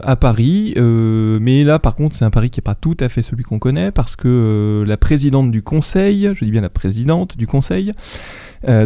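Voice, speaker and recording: A man's voice: mid-range voice, mellow, clean tone, dry recording, studio quality. A man with a cold and a stuffy nose, presenting a book in a rambling, hesitant way. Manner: rambling, many fillers and restarts. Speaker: book columnist. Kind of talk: casual book review.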